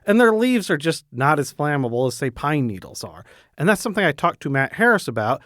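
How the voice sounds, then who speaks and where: A man's voice: a little high, very animated, a little fast, a bit bright, no echo, studio quality. A man working as a news reporter, explaining a story in a conversational radio report.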